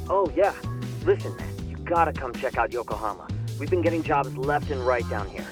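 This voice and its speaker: A man's voice: mid-range voice, expressive, brisk, music in the background, close to an everyday voice. A man with an American accent acting an eager, upbeat young detective in a video game dub, talking in friendly slang. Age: young adult. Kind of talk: English game dub acting, casual call.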